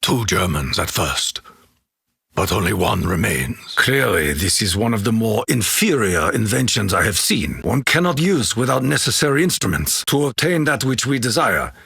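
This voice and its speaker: An actor playing a proud, honor-bound Japanese warrior in a video game, with a Japanese accent, gravelly husky voice and solemn, formal, declamatory delivery. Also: male voice, on the low side, very animated, moderate pace, bright, forceful tone, no room sound, clean studio sound, exaggerated voice. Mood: disdainful. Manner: solemn, declamatory, formal clipped phrasing with dropped articles. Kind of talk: theatrical video game voice acting.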